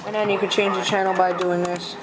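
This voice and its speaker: Halting young-sounding speaker, noisy home recording. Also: medium pitch, little pitch movement. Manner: halting, with frequent throat clearing and coughing. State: coughing, throat-clearing. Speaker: video host showing a collection. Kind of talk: casual handheld product show-and-tell. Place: indoors at a desk in a home room. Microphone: handheld camera's built-in mic. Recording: noisy home camera audio, clicks.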